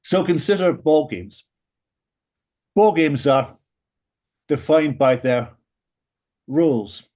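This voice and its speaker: A man with a British English accent giving a prepared philosophy talk as a panelist, measured and lecturing, with dry wry asides and emphatic rises on key points. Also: fairly high pitch, lively intonation, mellow. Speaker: panelist in an academic book discussion.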